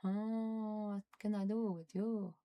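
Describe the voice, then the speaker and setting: A young Norwegian woman streaming live, chatting casually and muttering and swearing at her software as she struggles with it. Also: medium pitch, little pitch movement, slow, muffled recording. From Norway. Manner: frustrated, swearing, muttering under her breath. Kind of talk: casual livestream chat while fiddling with software.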